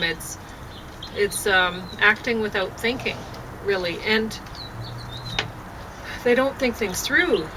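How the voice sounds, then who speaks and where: A woman in casual, rambling conversation, halting often as she thinks aloud, recorded outdoors on a deck. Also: medium pitch. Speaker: vlogger sharing opinions on a show. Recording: outdoor deck, clear background noise, birds.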